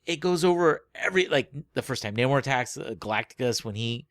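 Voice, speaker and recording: A man co-hosting a podcast, chatting casually about books in a rambling, halting way that often trails off mid-phrase. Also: medium pitch, expressive, fairly bright tone, no echo, studio quality. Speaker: podcast co-host. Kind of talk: casual book-club chat.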